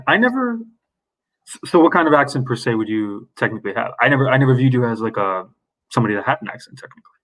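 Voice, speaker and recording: A man's voice: mid-range voice, expressive, quick pace, fairly bright tone, poor audio. A man hosting a podcast, easygoing and curious, chatting casually with his guest. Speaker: podcast host. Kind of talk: casual interview chat.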